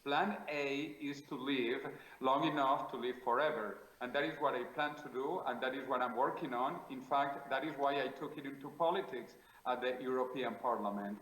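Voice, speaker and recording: A man with a Spanish accent, speaking English as a second language, answers interview questions in a measured, declarative way with plenty of small pauses. His voice sits at a middle pitch and carries a noticeable roomy echo, as if recorded over a call in a bare room. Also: a bit bright. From South America. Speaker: guest, futurist.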